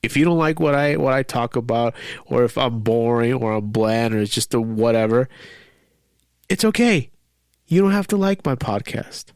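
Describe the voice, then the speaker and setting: A man's voice: medium pitch, expressive, normal speed, dry recording, clean studio sound. A man hosting his own podcast while sick with a cold and stuffy. He rambles, restarts sentences and sounds defiant at times. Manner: rambling, repeats words, frequent fillers; defiant, frustrated. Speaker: podcast host. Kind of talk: casual solo podcast monologue.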